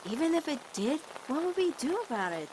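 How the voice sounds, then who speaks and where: A woman's voice: high-pitched, sing-song, a little fast, noisy background. A woman voicing a caring, protective teenage girl in a cartoon fan dub, earnest and emphatic as she pleads with her girlfriend. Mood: gentle worry. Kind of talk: animated-series fan dub drama acting.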